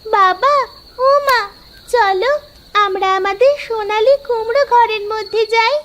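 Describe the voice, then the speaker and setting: A woman voicing an excitable, innocent young village girl who exclaims and pleads in a fairy-tale cartoon. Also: a little slow, slight background noise. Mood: amazed, delighted. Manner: high, wailing, exclaiming.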